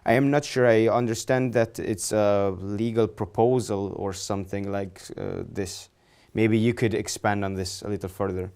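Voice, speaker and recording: A man's voice: fairly low pitch, steady tone, moderate pace, a little mellow, clear, resonant tone. A young man hosting a studio interview, asking long, polite questions with frequent restarts and self-corrections. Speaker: interview host. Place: studio set, indoors.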